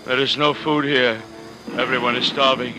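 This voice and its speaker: A man's voice: mid-range voice, steady tone, normal speed, pushed and bright, lightly acted, low-quality recording. Frightened village man, played by a male actor, clipped urgent warnings, vintage radio drama with music behind. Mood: grim, alarmed. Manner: urgent, broken clipped phrasing. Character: frightened foreign local man warning travelers of plague. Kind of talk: old-time radio drama acting.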